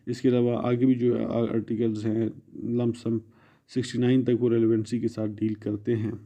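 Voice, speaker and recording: A man's voice: mid-range voice, level tone, moderate pace, warm tone. A man with a Pakistani accent giving an explanatory exam-prep lecture as a law teacher, restating formal phrases in plain terms. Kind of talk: exam-prep lecture mixing Urdu and English.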